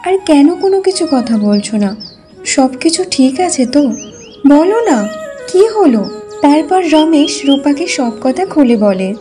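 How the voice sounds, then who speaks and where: A woman's voice: sits high, mellow. A woman narrating a cartoon folk tale and voicing its village wives. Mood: worried. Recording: music behind the voice.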